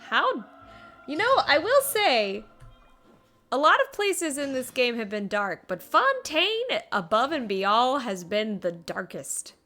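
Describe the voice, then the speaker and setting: Woman streamer reacting to a game, high bright voice, really expressive, bit of music behind. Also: slow. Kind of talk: casual live game commentary, reading dialogue aloud.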